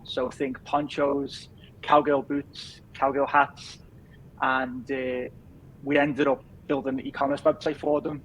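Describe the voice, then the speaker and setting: A man in his thirties with a softened Liverpool accent talks in an easygoing, rambling way, with plenty of "uh"s. His pitch stays fairly level, with little rise and fall. A faint hiss sits underneath. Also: rough recording. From England. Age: 30s. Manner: easygoing, rambling with many fillers. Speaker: podcast guest, business founder. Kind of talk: casual interview chat.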